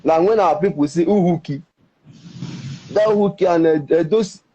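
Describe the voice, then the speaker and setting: A Nigerian man whose first language is Ika, explaining at length with halting restarts in an interview. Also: a little high, dark tone, a little hiss or hum. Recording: dull, muffled recording.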